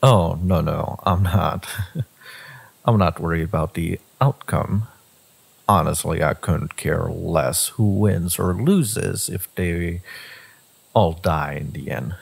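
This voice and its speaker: A man voicing a brooding, devoted fantasy villain in a romance audio roleplay, speaking deliberately in a low, gravelly, husky voice. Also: exaggerated voice. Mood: coldly amused. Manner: slow, deliberate, with pauses and sighs. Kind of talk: dramatic second-person romance audio roleplay.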